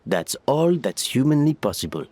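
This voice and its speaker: An actor playing an earnest, reasonable restaurant worker in a video game dub, speaking in an articulate, measured way. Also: male voice, mid-range voice, big pitch swings, darkish tone, natural voice. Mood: reassuring. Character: earnest, reasonable male restaurant colleague, explaining calmly.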